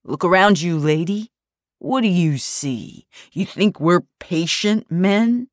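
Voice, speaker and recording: A woman's voice: lowish voice, expressive, slow pace, no room sound, studio quality. A woman voicing a male gunman who mockingly threatens a hostage. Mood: menacing.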